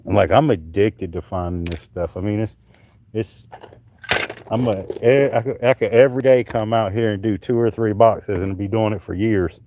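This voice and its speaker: Low, mellow-voiced man rambling casually. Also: fairly even intonation, moderate pace. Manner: rambling, chatty, drifts off mid-sentence; handling and showing coral pieces. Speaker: live stream host. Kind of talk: casual live stream chat.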